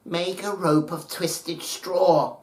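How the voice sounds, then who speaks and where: Man voicing a gentle old mother, raised pitch. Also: fairly even intonation. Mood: calm instruction. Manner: gentle, earnest, measured counsel. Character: frail, loving, selfless old Japanese mother. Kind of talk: dramatic folktale storytelling. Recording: roomy, echoing space.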